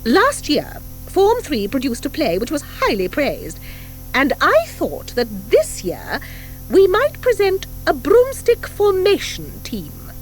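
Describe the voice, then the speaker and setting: Strict witch-school form mistress for a children's audiobook, played by a woman: high, commanding, crisp, with swooping emphasis. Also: wildly swooping pitch, takes it slowly, faint music behind, heavily acted, low-quality recording. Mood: authoritative. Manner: crisp, commanding, precise, piercing. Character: stern, formidable, brisk schoolmistress at a witches' academy. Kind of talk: audiobook character acting. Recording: 1984 audiobook from cassette.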